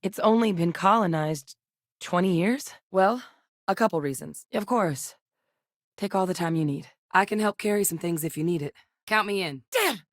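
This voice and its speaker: An actress plays a no-nonsense military scientist with a lowish, level voice, brisk and composed in a dry, matter-of-fact manner. The sound is close and completely dry, with no room around it. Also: female voice, no echo, natural voice. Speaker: game character voice lines. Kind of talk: video game dialogue.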